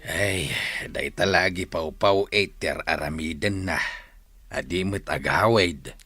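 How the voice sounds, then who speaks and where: Old ilocano village dad, radio drama, low bright-edged voice, very dry sound. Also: male voice, slow pace, husky, no echo, exaggerated voice. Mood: wistful, resigned. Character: slow-spoken elderly village father, resigned and longing.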